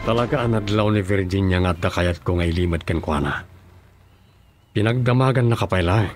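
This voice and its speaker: An actor with a Filipino accent playing a commanding, secretive boss and husband in a radio drama. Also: male voice, deep voice, brisk, lightly acted. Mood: guarded. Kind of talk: Ilocano radio drama acting.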